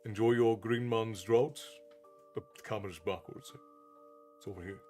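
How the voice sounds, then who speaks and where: A man's voice: lowish voice, fairly even intonation, moderate pace, dark tone, soft background music. A man in his forties from Ireland with an Americanized Southern Irish accent, chatting casually on a livestream and thanking viewers by name. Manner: folksy, thanks chat by name, calls viewers "love". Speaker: streamer and storyteller host.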